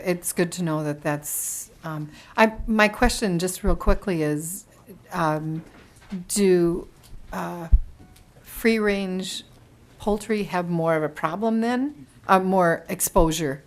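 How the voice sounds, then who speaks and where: A woman's voice: lowish voice, takes it slowly. A woman chairing a committee hearing in an informal, hesitant way, searching for her words. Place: legislative committee hearing room.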